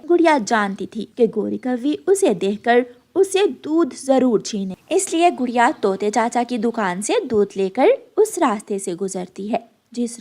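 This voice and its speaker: A woman's voice: on the high side, a little mellow. A woman storyteller giving scripted narration for a children's cartoon.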